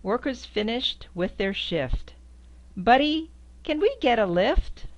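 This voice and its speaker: A woman's voice: very animated, slow, muffled recording. An older woman reading a children's picture book aloud in a sing-song way, recorded indoors on a camera in front of her. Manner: sing-song, squeaks high on the horn sounds. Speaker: storybook reader. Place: indoors before a quilt backdrop.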